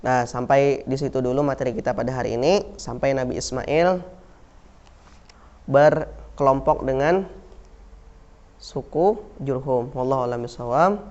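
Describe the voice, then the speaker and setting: A man's voice: mid-range voice, measured pace, long pauses, a little mellow. Indonesian male teacher, lo-fi recording. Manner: repeats key words, asks and answers his own questions; frequent "nah" and "yalah" fillers. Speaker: Islamic school teacher. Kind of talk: classroom lecture on Islamic history. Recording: dull lo-fi recording.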